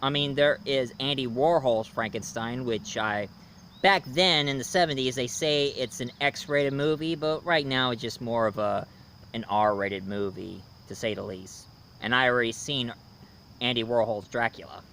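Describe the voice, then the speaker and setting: A man giving a casual, unscripted movie review as an online host, rambling and halting as he goes. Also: medium pitch, fairly bright tone, slight background noise. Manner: rambling, halting, hesitant delivery.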